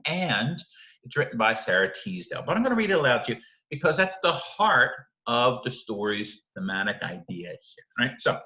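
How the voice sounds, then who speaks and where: Male lecturer, bright and emphatic, roomy echoing sound, conversational teaching style with frequent rhetorical check-ins. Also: mid-range voice, big pitch swings, normal speed, highs cut off. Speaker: literature teacher. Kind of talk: informal video lecture analysing a short story.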